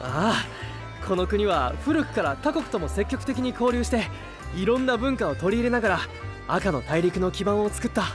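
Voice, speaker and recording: An idealistic young male knight in an anime-style audio drama. His voice moves widely in pitch, always sincere and earnest. Music is clearly audible underneath. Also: very animated, normal speed. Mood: proud. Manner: sincere, swinging from subdued to eager. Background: prominent background music.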